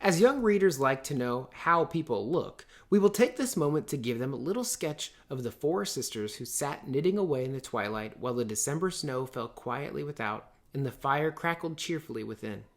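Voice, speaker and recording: A man reads a novel aloud as a teacher would for students. His mid-pitched voice gives expressive storybook narration. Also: normal speed, hardly any pauses.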